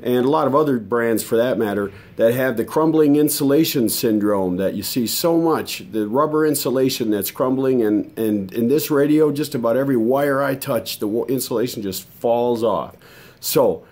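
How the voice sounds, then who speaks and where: A man's voice: medium pitch, normal speed, a little mellow. An American man chatting casually to viewers as a hobbyist host, in a folksy, conversational way. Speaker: hobbyist radio restorer and video host. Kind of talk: casual vlog chat to viewers.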